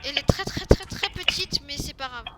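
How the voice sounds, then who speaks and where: A woman's voice: extremely high pitch, a little fast. A woman improvising a game roleplay, talking hesitantly with many fillers. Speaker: roleplay player. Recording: loud background noise.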